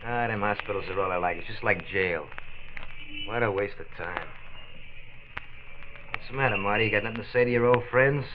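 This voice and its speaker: An actor playing a cocky, pushy young street tough in a 1940s radio drama, slangy and needling on a noisy old recording. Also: male voice, on the low side, a little fast, pauses often, phone-quality sound, close to an everyday voice. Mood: taunting, chummy.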